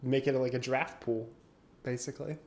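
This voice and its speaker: A man's voice: mid-range voice, steady tone, normal speed, a little mellow. A young man co-hosting a podcast, chatting casually, laughing often and explaining game rules. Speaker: podcast co-host. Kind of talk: casual chat.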